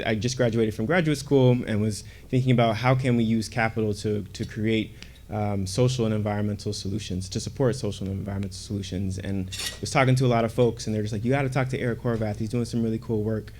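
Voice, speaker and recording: A man acting as emcee, introducing two speakers at a conference luncheon with halting, filler-filled speech. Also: fairly low pitch, steady tone, a little mellow. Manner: halting, many fillers and restarts. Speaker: event emcee. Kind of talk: casual stage introduction.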